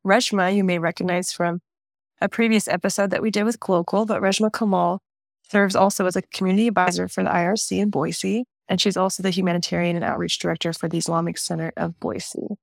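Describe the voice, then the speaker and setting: A woman's voice: fairly low pitch, steady tone, fast, vocal fry, no room sound, clean studio sound. A woman hosting a podcast, introducing her guests in a friendly, conversational way. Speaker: podcast host.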